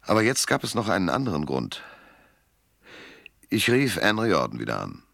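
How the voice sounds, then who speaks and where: A man's voice: deep voice, huge pitch swings, moderate pace, creaky, a bit bright, dry recording, exaggerated voice. An actor playing a world-weary male private detective in a German hardboiled radio drama, dry, laconic and self-mocking. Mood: wry, reflective.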